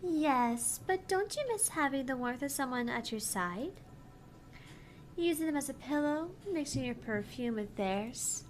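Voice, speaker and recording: Woman putting on a high-voiced playful young hacker woman. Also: animated, measured pace, a little hiss or hum. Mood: dreamy, wistful. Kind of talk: visual novel voice-over read-aloud.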